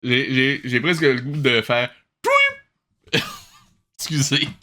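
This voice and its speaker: A man's voice: mid-range voice, fairly even intonation, slow pace, bright, forceful tone. A man doing casual, amused reaction commentary, rambling and often interrupting himself. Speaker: host reviewing an old cartoon.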